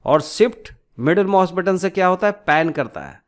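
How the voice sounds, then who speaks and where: A man giving an informal step-by-step software tutorial, explaining as he goes and often checking with little tag questions. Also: high voice, animated, moderate pace.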